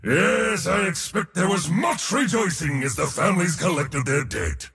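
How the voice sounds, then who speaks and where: Arrogant war god, video game villainy and bravado, high-pitched for a man, gravelly, pompous, bloodthirsty, declamatory. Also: animated, unhurried, a bit bright, long reverb tail, soft background music, full character voice. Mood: haughty storytelling. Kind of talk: theatrical video game character acting.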